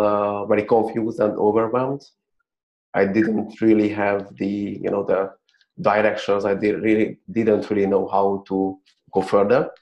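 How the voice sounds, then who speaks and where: Hungarian man, flat halting speech. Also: lowish voice, gravelly. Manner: earnest, halting with many "uh" fillers. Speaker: guest. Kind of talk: interview over video call. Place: small plain-walled room. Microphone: video call webcam. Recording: dull lo-fi video call audio.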